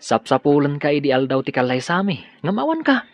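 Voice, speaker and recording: A man's voice: a little high, very animated, a little fast, dry recording. A man with an Ilocano accent acting a proud, forgiving young husband in a radio drama. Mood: warm, reassuring. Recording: dull studio audio with music bed.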